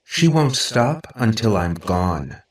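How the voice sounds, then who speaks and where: A man's voice: lowish voice, big pitch swings, slow, roomy sound, recorded from a distance, pitched above the performer's own voice. Man voicing a sweetly possessive robot girl. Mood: pleading, clingy. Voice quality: synthetic, affectionate tone. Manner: sweetly insistent, precise.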